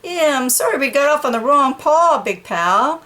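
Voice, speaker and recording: A woman reading a children's picture book, voicing a small, feisty, defensive dog with emphatic, drawn-out retorts. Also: sits high, expressive, pushed and bright, pitched above the performer's own voice. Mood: playful, eager.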